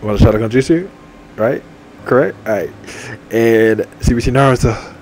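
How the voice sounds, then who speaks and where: A man in his twenties talking casually and repetitively over a game, enthusiastic and full of shout-outs. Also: mid-range voice, normal speed, slight background noise, poor audio. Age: 20s. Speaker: gaming channel host. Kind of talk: rambling casual monologue over game menu. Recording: faint game music behind. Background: faint game menu music.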